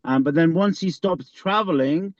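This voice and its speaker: A man, co-hosting a podcast in casual conversation, halting with many fillers and restarts. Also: on the high side, dry recording. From Iran. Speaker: podcast co-host. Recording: slightly dull lo-fi sound.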